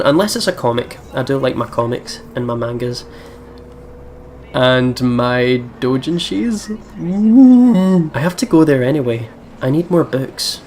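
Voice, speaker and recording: A man's voice: mid-range voice, darkish tone, slight background noise. A man reading a visual novel aloud and reacting in a playful, chatty way, often repeating words. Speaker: let's player reading and reacting. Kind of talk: playful let's play commentary and read-aloud narration. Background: game music.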